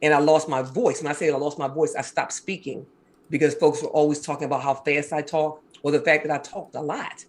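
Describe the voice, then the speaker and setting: A woman in her fifties from Maryland with a deep, husky voice, talking fast and animatedly as an interview guest. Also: steady tone. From Maryland, USA. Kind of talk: casual interview chat.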